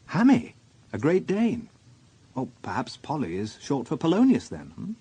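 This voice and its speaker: A man's voice: on the high side, huge pitch swings, normal speed, dark tone, breathy edge, full character voice. An actor playing a weary, dry-witted male police inspector in a radio drama, wry and understated. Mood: puzzled, wry. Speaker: narrator and lead actor. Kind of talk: radio drama acting and first-person narration.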